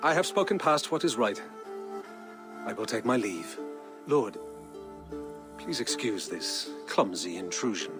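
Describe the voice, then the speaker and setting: A man's voice: on the low side, big pitch swings, measured pace, long pauses, music in the background. A man voicing a pious, anxious Irish abbot in a game, formal, earnest and deferential. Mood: apologetic, deferential. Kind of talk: video game cutscene acting.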